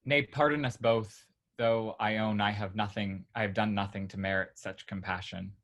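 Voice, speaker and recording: A man playing a gallant, self-mocking young gentleman suitor in a theatrical period comedy. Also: fairly low pitch, steady tone, normal speed. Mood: pleading.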